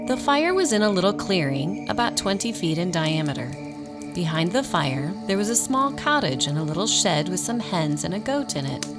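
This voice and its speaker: A woman narrating a scripted radio drama in an expressive storybook style. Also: fairly low pitch, normal speed, over music.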